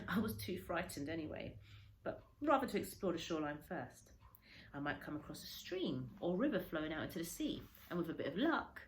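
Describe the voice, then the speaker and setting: A woman reading a children's story aloud like a teacher, dramatically, with pauses and stretched words for emphasis. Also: low voice. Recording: echoey room, faint background noise.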